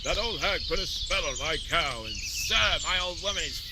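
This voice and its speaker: High-pitched whining medieval peasant. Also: male voice, expressive, moderate pace, heavy background noise. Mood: aggrieved. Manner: complaining, rustic. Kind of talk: video game cutscene acting. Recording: loud outdoor ambience.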